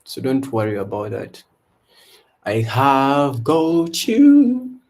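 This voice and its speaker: A man's voice: medium pitch, takes it slowly. A young man from Uganda with a Ugandan accent, hosting a casual live trading stream and chatting loosely to viewers from an indoor room. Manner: relaxed, repetitive hype calls to viewers. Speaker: livestream trading host. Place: indoor room before a branded backdrop.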